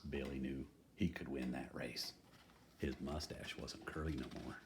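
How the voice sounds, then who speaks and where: A man's voice: rumbling low, steady tone, measured pace, background noise. A man reading a children's picture book aloud in a folksy, playfully dramatic manner, adding chatty asides. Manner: folksy, playful dramatic delivery, dropping to hushed tones.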